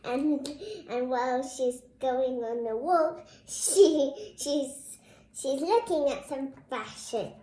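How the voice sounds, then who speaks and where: A high, young child's voice reads a storybook aloud as host of the reading. The delivery is presenterly and speaks straight to viewers. The sound is echoey, as in a reverberant room. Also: slow, warm tone, slight background noise. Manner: presenterly, addresses viewers directly. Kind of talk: read-aloud storybook narration with brief asides. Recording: roomy, echoing indoor sound.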